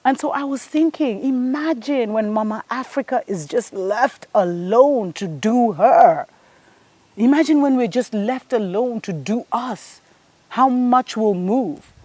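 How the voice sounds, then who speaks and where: A woman's voice: a little high, animated, a little slow, dark tone, no echo. Earnest woman preaching to viewers. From Kenya. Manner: earnest and preachy, addresses listeners as "family". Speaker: host of a spiritual show. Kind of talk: spiritual monologue to viewers.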